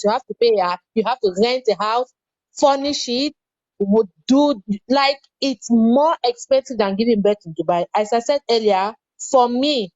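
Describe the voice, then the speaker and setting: Woman, earnest emphatic advice, fairly high voice, dry, dull lo-fi recording. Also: darkish tone, no echo. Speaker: guest. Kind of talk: advice-giving chat.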